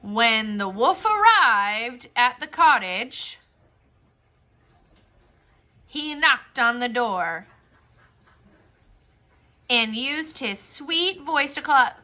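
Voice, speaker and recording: A woman storyteller narrating a children's fairy tale for a puppet show in a deliberate, expressive way. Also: fairly high pitch.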